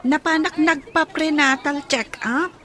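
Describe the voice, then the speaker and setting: A woman's voice: high-pitched, fairly even intonation, unhurried, fairly bright tone. A woman playing a resentful young expectant mother in a radio drama. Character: resentful young pregnant woman, let down by partner. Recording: busy crowd sounds behind.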